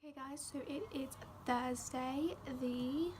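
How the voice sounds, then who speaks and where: A teenage girl chatting casually to camera for her vlog, voice croaky from a sore throat, full of "like" and "um". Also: high-pitched, unhurried, noisy background. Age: teens. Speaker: vlogger.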